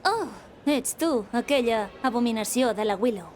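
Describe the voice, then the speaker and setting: A woman's voice: fairly high pitch, animated, moderate pace, very noisy, natural voice. A woman voicing a haughty, prickly teenage witch rival in an amateur cartoon fandub. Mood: haughty, annoyed. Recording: music behind the voice.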